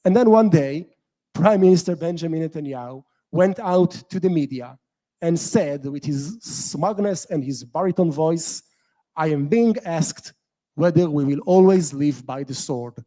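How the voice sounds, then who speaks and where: A young adult man from Israel with an Israeli accent, telling an earnest story to an audience with measured pauses. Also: fairly high pitch, mellow, low-quality recording. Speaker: activist speaker.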